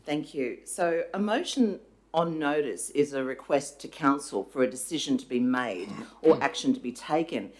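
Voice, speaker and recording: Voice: medium pitch, animated. Aussie councillor at a council meeting, formal and careful, desk mic in the chamber. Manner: measured, procedural, explains context before asking.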